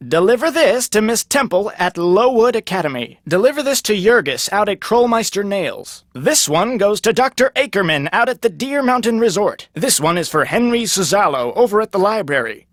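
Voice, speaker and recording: Small town telegraph man, folksy, very high lively voice, swoops around. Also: no echo, clean studio sound. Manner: folksy, chatty, businesslike. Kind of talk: video game voice acting.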